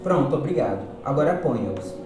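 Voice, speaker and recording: Guy acting as patient brazilian philosophy teacher explaining stuff, amateur radio play, echoey far off mic, loud music. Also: medium pitch, sing-song, brisk, close to an everyday voice. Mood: patient, explanatory. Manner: didactic, explanatory. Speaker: actor in a student radio drama. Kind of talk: amateur radio drama dialogue, didactic.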